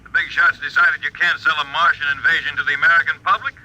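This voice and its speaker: An actor playing a breezy, incredulous show-business pal, slangy and mocking, in an old-time radio drama. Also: male voice, fairly high pitch, flat delivery, fast talker, sharp tone, dull audio. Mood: amused disbelief.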